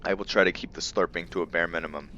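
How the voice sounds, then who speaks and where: A man's voice: low voice, steady tone, fast talker, pushed and bright, muffled recording, a little hiss or hum. A man giving casual gameplay commentary as a let's player, thinking aloud in short bursts.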